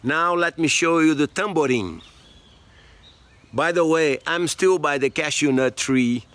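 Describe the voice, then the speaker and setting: Slow-spoken man teaching percussion. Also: on the high side, fairly bright tone, soft background music. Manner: slow, explanatory, counting beats aloud. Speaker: music teacher. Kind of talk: demonstrating percussion outdoors. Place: outdoors by a tree.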